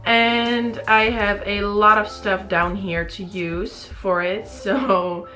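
A young woman, chatting casually to camera in her bedroom, a little sleepy and raspy, rambling with many fillers and restarts. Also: mid-range voice, steady tone, slow, sharp tone, soft background music. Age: young adult. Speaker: beauty vlogger. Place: small bedroom indoors. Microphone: camera in front at close range.

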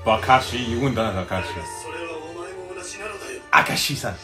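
A man's voice: medium pitch, moderate pace. A young man, chatting casually and slangily as he reacts on a group channel. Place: small room indoors, on a couch. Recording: music playing behind the voice.

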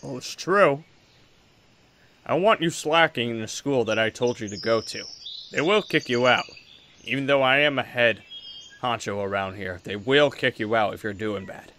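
A man playing an easygoing but firm father, a veteran exorcist in a Minecraft roleplay, talking in a relaxed, reassuring way. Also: medium pitch, normal speed. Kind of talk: improvised Minecraft anime roleplay.

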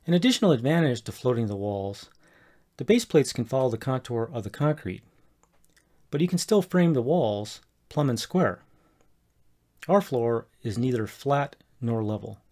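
Measured man narrating a DIY build. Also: medium pitch, big pitch swings, warm tone. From Colorado, USA. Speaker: homeowner explaining a DIY project. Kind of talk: scripted voice-over narration.

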